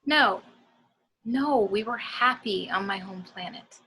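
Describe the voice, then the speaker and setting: A woman's voice: mid-range voice, slow pace, sharp tone, a little hiss or hum. A woman playing a bitter, defiant warrior daughter in an amateur script read, with an emotional, accusing delivery.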